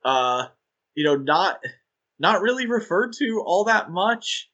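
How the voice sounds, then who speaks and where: Man, podcast co-host, bright higher voice, rambling casual chat, enthusiastic. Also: sing-song, takes it slowly, lo-fi sound. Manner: enthusiastic, rambling with fillers and laughs.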